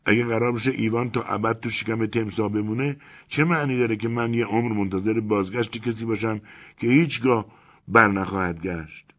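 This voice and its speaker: A man reading aloud and voicing a quick-tempered young wife who shrieks and complains in indignant bursts. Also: fairly low pitch, a bit bright, pitched above the performer's own voice. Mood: defiant. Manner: shrieking, exasperated, rapid complaints. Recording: dull lo-fi recording.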